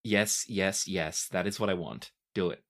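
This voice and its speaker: A game master giving measured, vivid scene descriptions mixed with casual rulings for a tabletop game. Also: female voice, very deep voice.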